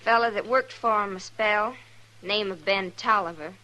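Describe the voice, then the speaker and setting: Young frontier ranch girl for old radio western: actress with bright, forceful voice, rural American accent, plain-spoken and naive. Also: medium pitch, normal speed, natural voice, poor audio. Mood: earnest, worried. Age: young adult. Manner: plain-spoken, artless, literal. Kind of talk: 1950s radio western acting.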